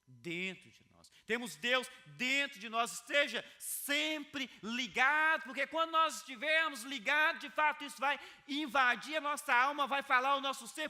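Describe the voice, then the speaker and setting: Male pastor, video sermon; high, very bright voice; earnest, repeats phrases, shifts between soft and loud. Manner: earnest preaching with wide swings between soft low passages and loud high emphasis; repeats key phrases for emphasis.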